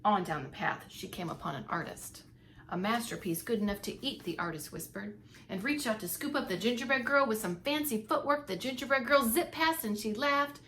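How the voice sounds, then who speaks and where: Bright-voiced woman reading a children's story. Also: fairly high pitch, fairly quick, a little hiss or hum. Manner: animated, dramatic emphasis for young listeners. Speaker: preschool teacher reading aloud. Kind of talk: expressive children's story narration.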